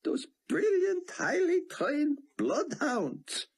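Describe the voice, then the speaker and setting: A man's voice: sits high, huge pitch swings, unhurried, creaky, slightly rough, pitched above the performer's own voice, exaggerated voice. A man voicing an older male rabbi, giddy and half-breathless with laughter. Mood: laughing.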